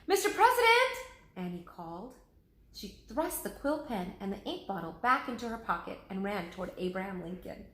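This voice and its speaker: A woman, a teacher, reading a children's chapter book aloud with lively, expressive voices. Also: medium pitch, normal speed, echoey room, slight background noise.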